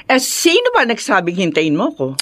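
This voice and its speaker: A woman's voice: medium pitch, wildly swooping pitch, normal speed, fairly bright tone, a little hiss or hum. A Filipino woman playing a selfish, brash middle-aged mother in a radio drama. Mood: irritated, snappish. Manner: brash, dismissive. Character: selfish middle-aged mother, doting on her lover, snappish with daughter.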